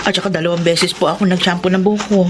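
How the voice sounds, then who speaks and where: A woman's voice: lowish voice, fairly even intonation, rapid-fire, background noise, close to an everyday voice. An actress playing a naive, deferential middle-aged mother seeking housemaid work in a Tagalog radio drama, folksy, with a Filipino accent. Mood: eager, awed. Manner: deferential, wide-eyed, folksy.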